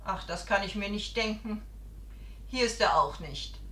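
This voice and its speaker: A woman's voice: mid-range voice, measured pace, a bit bright, lightly acted. An actress playing an anxious middle-aged mother in a radio play, calling out urgently for a missing boy. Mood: worried calling. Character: anxious middle-aged mother searching for her foster son. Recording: echoey room.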